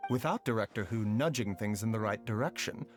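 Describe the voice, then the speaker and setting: An actor voicing a calm, enigmatic doctor in a video game, speaking in a polite, formal, measured way. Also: male voice, fairly low pitch, soft background music, natural voice.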